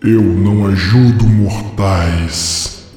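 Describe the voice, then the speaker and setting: A vast echo carries this monstrous forest spirit, over faint music. He speaks with slow, ominous weight and cold disdain, like a horned one-eyed giant of a fantasy tale. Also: steady tone, warm tone. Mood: menacing. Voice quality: deep booming voice with ethereal echoes. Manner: slow, grave, ominous delivery. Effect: heavy echo and long reverb. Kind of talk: dramatized fantasy audio story. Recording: cavernous reverb with music underneath.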